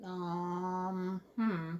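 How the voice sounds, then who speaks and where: A woman's voice: on the low side, flat delivery, drawn-out words, a little mellow. A woman giving casual, off-the-cuff gameplay commentary as the host of a let's play.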